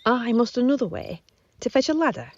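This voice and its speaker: A weary old nurse, acted by a woman in a Shakespeare audio play, teasing and out of puff. Her pitch swings widely as she stalls and rambles. The sound is muffled and lo-fi, taped on a phone at home. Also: huge pitch swings, brisk, exaggerated voice. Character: weary, teasing older female nurse, servant and go-between. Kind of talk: Shakespeare audio drama acting. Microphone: phone, recorded at home.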